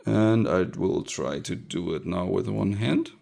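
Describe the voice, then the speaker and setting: A man's voice: sits low, a little slow, gravelly, dark tone. A man giving a casual hands-on tech tutorial, thinking aloud as he demonstrates. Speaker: electronics hacker presenting own project.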